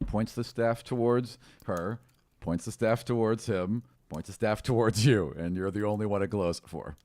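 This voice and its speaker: A man's voice: lowish voice, normal speed, darkish tone, dry recording. A man in his forties narrating an improvised tabletop game as game master, close on a studio microphone. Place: small room, indoors. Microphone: close studio microphone on stand.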